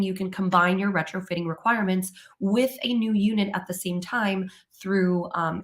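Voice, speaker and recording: Hoarse woman hosting a live webinar. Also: lowish voice. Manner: relaxed, many ums and uhs. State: hoarse, recovering from laryngitis. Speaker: webinar host, company presenter.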